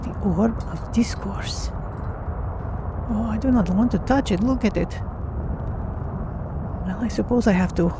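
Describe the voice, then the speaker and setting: A woman voicing a curious, cautious female cat-folk game adventurer, speaking in deliberate, formal phrasing as she narrates her journey. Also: medium pitch, lightly acted. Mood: wary resolve. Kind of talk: in-character game playthrough narration. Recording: clear background noise under voice.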